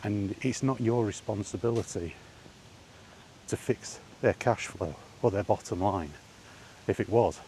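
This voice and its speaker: A man's voice: on the low side, unhurried, mellow, slight background noise. A man and gaming vlogger giving a blunt, emphatic opinion rant while walking outdoors by allotments.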